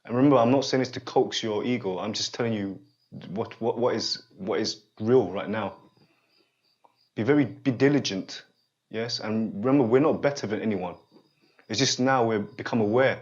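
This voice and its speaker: Halting man in his forties, dull lo-fi sound. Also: lowish voice. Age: 40s. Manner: halting and repetitive, often pausing to check the listener follows. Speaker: holistic therapist guiding a student. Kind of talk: unscripted one-on-one guidance session.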